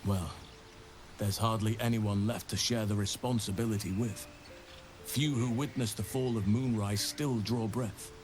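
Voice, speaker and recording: Man acting a grave, low-voiced druid leader. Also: lightly acted. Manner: measured, formal, grave phrasing. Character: earnest, learned male druid leader burdened by regret. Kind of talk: dramatic fantasy video game dialogue. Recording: game music behind.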